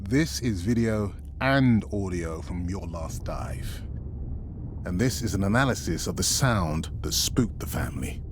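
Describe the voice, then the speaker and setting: A man's voice: low voice, animated, a little slow. Serious male analyst over background noise. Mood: matter-of-fact. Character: intense, principled male research analyst, argumentative. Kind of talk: naturalistic video game voice acting. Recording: clear background noise under voice.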